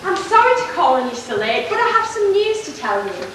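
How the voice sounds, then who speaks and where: Woman doing a smooth scheming nobleman in an amateur panto, high voice, really echoey hall. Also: sing-song, brisk. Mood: smooth, courting. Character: scheming smooth-talking nobleman courting a lady. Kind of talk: amateur pantomime stage acting. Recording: echoey hall, distant mic, faint noise.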